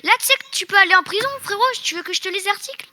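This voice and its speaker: A woman with a high, sharp, bright voice talks in casual online gaming chat. She sounds like a slangy server moderator, assertive but stopping and restarting as she goes. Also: rapid-fire. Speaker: trainee game server moderator. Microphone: online voice chat microphone.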